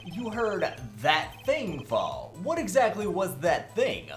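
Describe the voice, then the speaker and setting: A man's voice: high-pitched, huge pitch swings, slow pace, reverberant, music in the background, heavily acted. A man in an amateur game fandub playing a sarcastic, cocky young defense lawyer, theatrical and full of mocking wisecracks. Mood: cocky, pressing.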